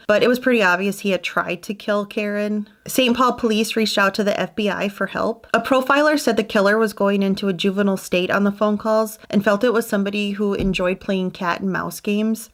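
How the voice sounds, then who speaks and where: A woman hosting a true crime channel, narrating in a casual, conversational way with personal asides. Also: mid-range voice.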